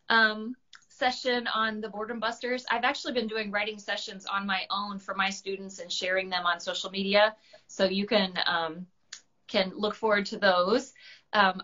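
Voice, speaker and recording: A woman reading and chatting to children in an expressive storybook style, with slightly dull sound. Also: mid-range voice. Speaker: children's book reader, writing teacher. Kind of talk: animated storybook read-aloud and friendly chat.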